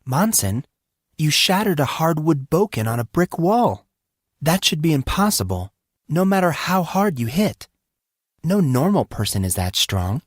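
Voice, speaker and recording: Man putting on a blunt, higher-pitched teenage girl. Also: big pitch swings, unhurried, no echo, studio quality. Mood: probing, serious. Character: teenage girl, deadpan, blunt, coolly probing.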